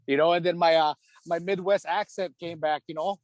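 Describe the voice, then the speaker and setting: A man's voice: fairly high pitch, steady tone, brisk, no echo. Rambling man, dry close sound. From Philippines. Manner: rambling, many fillers like "dude" and "you know". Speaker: guest, hockey equipment repair shop owner. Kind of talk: casual podcast chat.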